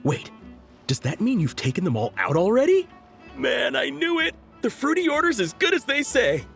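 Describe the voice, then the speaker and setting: A man's voice: extremely high pitch, wildly swooping pitch, normal speed, creaky, a bit bright, faint music behind, exaggerated voice. A man voicing an eager, naive young male gang fanboy in a video game, with a gushing manner. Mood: starstruck.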